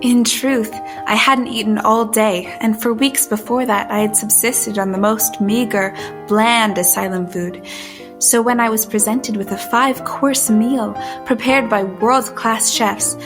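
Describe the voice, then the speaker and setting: A woman's voice: mid-range voice, steady tone, moderate pace, natural voice. An actress playing a bewildered, well-mannered young woman of the 19th century in an audio drama, with proper period phrasing. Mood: narrating. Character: bewildered, well-mannered young asylum escapee in 1871, narrating her tale. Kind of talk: audio drama dialogue and first-person narration. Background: music.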